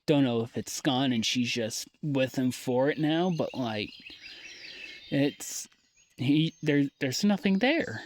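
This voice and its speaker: A young man recording a personal audio diary, talking in a weary, halting way that trails off into sighs. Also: medium pitch, a little hiss or hum.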